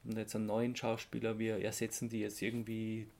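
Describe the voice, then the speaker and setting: Male podcast host, Austrian accent, gravelly fry, informal explainer, halting delivery. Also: lowish voice, completely flat delivery, darkish tone. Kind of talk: casual podcast chat, explaining.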